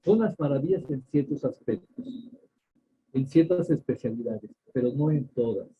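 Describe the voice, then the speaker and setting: An older man with a Mexican accent, a doctor answering interview questions in a measured way, pausing often and restarting his sentences. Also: medium pitch, lively intonation. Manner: measured, many hesitations and restarts. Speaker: ophthalmologist guest. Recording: tinny phone-like line.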